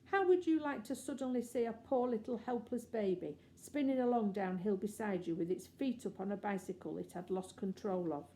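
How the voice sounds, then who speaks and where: A woman's voice: medium pitch, normal speed, hardly any pauses, dark tone, slight background noise, close to an everyday voice. A woman voicing an earnest, protective older sister, a young girl, speaking urgently and insistently in a storybook.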